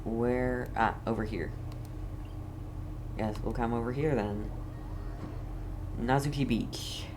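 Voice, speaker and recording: Guy streaming a game, slow offhand commentary, mutters and repeats stuff, slight background noise. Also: mid-range voice. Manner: offhand, playfully repetitive muttering. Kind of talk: casual gameplay commentary.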